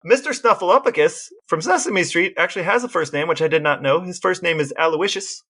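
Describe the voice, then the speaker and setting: A man's voice: high-pitched, brisk, pushed and bright, breathy edge. A man co-hosting a podcast in casual chat, reading out quotes and bits of trivia. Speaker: podcast co-host.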